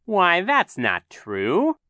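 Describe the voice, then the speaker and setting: Slow, scheming sea-captain villain, pitched high. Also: male voice, huge pitch swings, bright, forceful tone, dry recording, clean studio sound, heavily acted. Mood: feigned innocence. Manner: falsely soothing, sneering, drawn-out delivery. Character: menacing male sea captain, a scheming fake-sweet villain.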